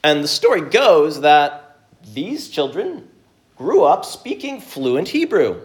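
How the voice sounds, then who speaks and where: A man preaching a sermon, reading scripture, praying and then teaching in an earnest, measured way with pauses. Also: a little high, very animated. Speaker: pastor.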